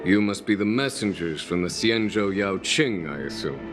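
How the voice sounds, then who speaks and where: Man playing low-voiced, dignified general. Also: animated, moderate pace, music in the background, close to an everyday voice. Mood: composed, formal. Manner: formal, composed, courtly. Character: calm, dignified male arbiter general, probing. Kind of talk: video game voice acting.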